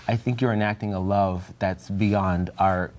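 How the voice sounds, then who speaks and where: Earnest low-voiced young man. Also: steady tone, a little slow, a little mellow. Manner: earnest, reflective, searching for words. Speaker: Bible study participant. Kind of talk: discussion group chat.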